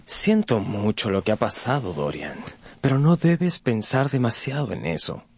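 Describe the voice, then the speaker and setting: An actor playing a worldly, cynical aristocratic gentleman in a radio drama, with a suave, patronizing manner. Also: lowish voice, very animated, normal speed, vocal fry, slight background noise. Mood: sympathetic, probing. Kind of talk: Spanish-language radio drama acting.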